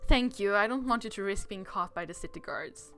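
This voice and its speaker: A woman's voice: medium pitch, a little fast, a bit bright, pitched below the performer's own voice, natural voice. A woman voicing an anxious, fretful prince from a fairy-tale visual novel, pleading and emphatic. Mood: worried. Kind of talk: reading visual novel dialogue aloud. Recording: music playing behind the voice.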